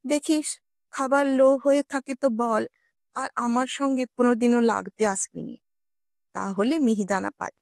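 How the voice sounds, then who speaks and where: Woman voicing a taunting, high-voiced schoolboy. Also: steady tone, measured pace, vocal fry, darkish tone, dry recording. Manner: teasing, bossy. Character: taunting, condescending schoolboy offering sweets.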